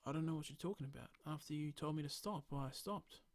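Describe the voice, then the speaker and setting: A man voicing a male bar helper who answers quickly and defensively. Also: on the high side, lightly acted.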